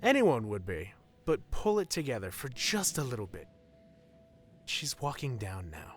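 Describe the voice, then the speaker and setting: A man's voice: mid-range voice, wildly swooping pitch, a little slow, soft background music. A man playing a proud, playful wedding officiant with a theatrical, formal manner in an audio roleplay drama. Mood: amused, reassuring.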